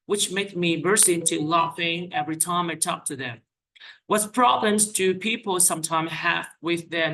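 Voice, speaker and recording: An IELTS teacher reading sample speaking-test answers aloud, with quick self-corrections. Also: medium pitch, pushed and bright, a little hiss or hum, low-quality recording. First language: Vietnamese. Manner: reads out questions and answers, corrects own slips with "sorry".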